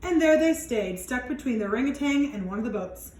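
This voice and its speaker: A woman reads a picture book aloud to children in an animated way, stretching words for emphasis and adding chatty, wondering asides. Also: fairly high pitch, brisk. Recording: echoey room with some background noise.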